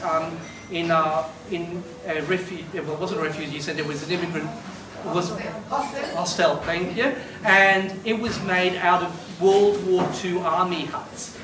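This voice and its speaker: Man telling family stories from across a room. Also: fairly high pitch. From Argentina. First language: Spanish. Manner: rambling, animated, gestures broadly with both hands. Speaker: eulogist. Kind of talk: storytelling at a memorial gathering. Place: glass-walled room by a beach. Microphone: far camera. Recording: roomy and distant with noise.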